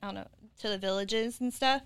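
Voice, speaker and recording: Bright, smooth-voiced young woman. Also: mid-range voice, brisk. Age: 20s. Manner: relaxed, chatty. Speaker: podcast guest. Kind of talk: casual chat.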